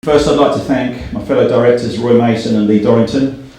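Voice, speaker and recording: A man's voice: mid-range voice, steady tone, fairly quick, dark tone. A middle-aged man hosting an event, giving a thank-you speech and reading out names in a hall. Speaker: event host and company director. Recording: very echoey hall, distant from microphone.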